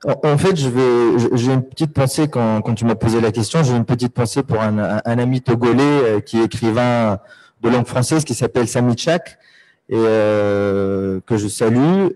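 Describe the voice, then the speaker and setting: A man's voice: medium pitch, darkish tone, poor audio. A Tunisian man, an Arabic native speaker, speaking thoughtfully on a panel with many hesitations and fillers. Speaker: writer on a panel. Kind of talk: reflective panel talk.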